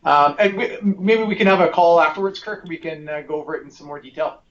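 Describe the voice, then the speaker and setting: A man's voice: on the high side, brisk. A man hosting an informal client conference call as a financial advisor, polite and accommodating, often hedging as he goes. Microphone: conference call line. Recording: slightly muffled call with faint noise.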